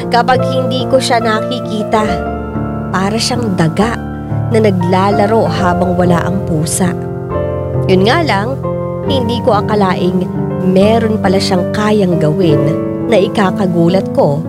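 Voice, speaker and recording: A woman's voice: medium pitch, unhurried, pauses often, close to an everyday voice. An actress playing a hurt but resolute woman telling her own story as radio drama narration. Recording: piano music loud behind narration.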